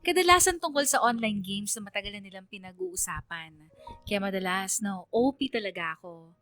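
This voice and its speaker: A woman reads as a reflective young woman telling her story in a radio drama. Her medium-pitched voice is conversational and sincere, with faint music underneath. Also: lively intonation, a little fast, a bit bright, close to an everyday voice. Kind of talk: scripted first-person radio drama narration.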